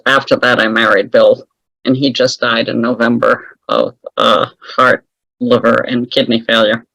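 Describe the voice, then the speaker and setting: A woman's voice: rumbling low, gravelly, a bit bright, dry recording, poor audio. Chatty woman guest spinning a story, wry jokes, dry close sound. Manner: chatty, wry, laughs often at her own stories. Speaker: guest author. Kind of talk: casual storytelling interview.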